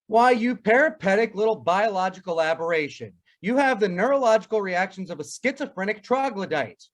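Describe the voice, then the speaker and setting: A man's voice: high-pitched, animated, a little fast. A man playing a wry, pompous family doctor in old-time radio comedy, wordy and drily sarcastic. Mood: scornful.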